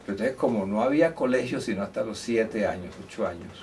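A man's voice: lowish voice, expressive, fast talker, a little mellow. An older man from Córdoba, Colombia, telling stories from his life as a musician in a chatty, anecdotal way, with hesitant restarts. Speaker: musician interviewee. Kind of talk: relaxed storytelling interview. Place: indoor room beside a grand piano. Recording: distant mic with faint room noise.

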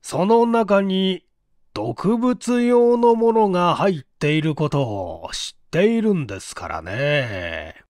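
A man's voice: high-pitched, very animated, a little mellow, dry recording, clean studio sound, heavily acted. A man voicing a shrewd, self-assured police chief who questions politely but emphatically, in a story reading. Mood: pressing, insistent. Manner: deliberate, emphatic, leading questioning. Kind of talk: acted dialogue in story reading.

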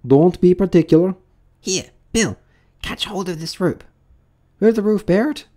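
A man voicing busy animal workers in a storybook, bickering and calling out brisk, colloquial orders. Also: high voice, dark tone, voice pushed up. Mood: bustling.

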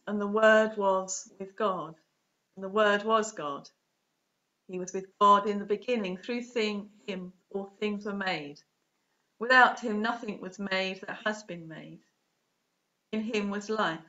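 A woman in her forties reading a scripted sermon as a priest, in measured, deliberate short phrases, recorded on a webcam at home. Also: mid-range voice, fairly bright tone. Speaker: preacher, Anglican priest. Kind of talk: scripted sermon read to camera. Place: small home room, indoors. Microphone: webcam or laptop at desk distance. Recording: dull, muffled webcam audio.

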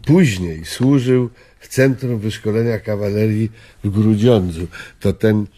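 An actor playing a weary, melancholy old man in a radio drama, with a husky voice. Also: fairly low pitch, unhurried, dark tone. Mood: matter-of-fact. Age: older.

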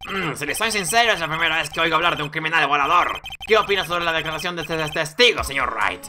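A performer voicing a stern but befuddled old male judge in a game, solemn and deliberate. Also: medium pitch, animated, music in the background, natural voice. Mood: puzzled. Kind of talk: video game dialogue read aloud with character voices.

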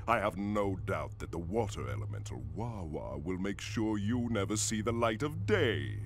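An actor playing a pompous, exasperated mad scientist villain in a video game, theatrical and sneering. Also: male voice, fairly low pitch, big pitch swings, normal speed. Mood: scheming. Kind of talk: video game cutscene acting. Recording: noisy game cutscene audio.

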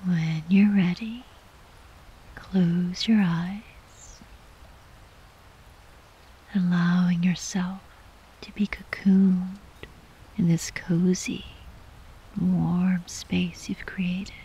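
Whispering woman guiding slow sleep meditation. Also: on the low side, steady tone, lo-fi sound. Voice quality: soft, breathy, whispery. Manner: soothing, gentle, unhurried with long pauses. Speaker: yoga nidra meditation guide.

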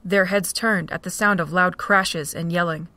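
Low-voiced woman narrating a story. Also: fairly even intonation, normal speed, bright, forceful tone, dry recording. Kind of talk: scripted narration.

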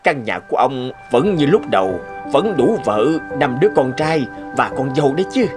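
A man voicing a calm, wry old village sage in a folktale cartoon, slow and measured. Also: medium pitch, music in the background, close to an everyday voice. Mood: wise, gentle. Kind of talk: animated folktale cartoon dubbing.

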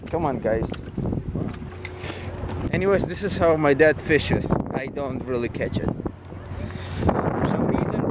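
A young adult man chatting casually and teasingly outdoors as he films a fishing trip on a handheld camera. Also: on the high side. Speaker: vlogger filming a fishing trip. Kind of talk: casual off-the-cuff chat. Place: outdoors on a concrete river pier. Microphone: handheld compact camera. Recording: loud wind and rushing water noise. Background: wind, river water.

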